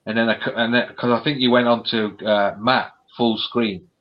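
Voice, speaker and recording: A man's voice: medium pitch, steady tone, moderate pace. Man on a dull lo-fi line. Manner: swearing, laughing, colloquial British slang. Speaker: stream co-host. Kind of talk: casual chat. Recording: dull lo-fi sound.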